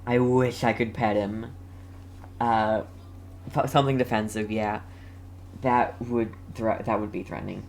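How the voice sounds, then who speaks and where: A young man giving casual live game commentary as a streamer, halting and often repeating his phrases. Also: on the low side, steady tone, gravelly, a little mellow, a little hiss or hum. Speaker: gaming streamer.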